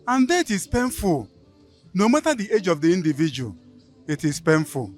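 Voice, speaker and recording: A Nigerian man with a Nigerian English accent, speaking in a solemn, measured way. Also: high voice, sing-song, faint music behind. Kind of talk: reflective speech.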